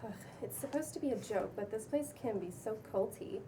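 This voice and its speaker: A woman's voice: fairly high pitch, animated, warm tone, natural voice. A woman acting a bubbly influencer in her thirties with an intense streak, gushing and slangy, in a cold script read. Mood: conspiratorial. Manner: gushing, drawn-out exclamations, casual slangy talk. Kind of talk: cold table read of a comedy pilot. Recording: distant room mic, faint background noise.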